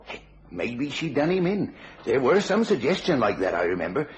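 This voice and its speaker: A man's voice: medium pitch, very animated, normal speed, natural voice. An actor playing a blunt, gossipy, jovial English police sergeant in a radio thriller, a man talking in colloquial, knowing style.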